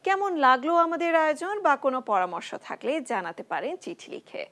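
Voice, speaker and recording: A woman's voice: high voice, very animated, fast. A woman with a Bangladeshi accent presenting a TV show in a scripted style. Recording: faint music underneath. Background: soft music.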